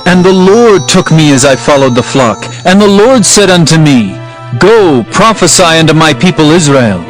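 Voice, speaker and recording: Earnest herdsman prophet played by a man, fairly high voice, solemn declamation over music in scripture drama. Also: expressive, normal speed, lightly acted, rough recording. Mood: solemn warning. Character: Amos, earnest humble herdsman prophet proclaiming warnings.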